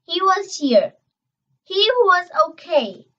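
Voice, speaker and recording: A young girl reading a children's novel aloud, expressive and emphatic on dialogue, stumbling over words now and then. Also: very high-pitched, takes it slowly, dull audio. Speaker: reader.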